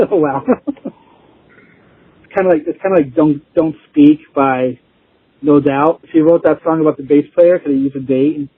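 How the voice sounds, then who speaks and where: A man chatting casually as an interview guest, informal and rambling, with a husky voice. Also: a little high, moderate pace, long pauses, dry recording. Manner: informal, rambling, chuckling easily. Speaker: interview guest, band musician. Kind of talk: casual chat. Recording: tinny phone-like line.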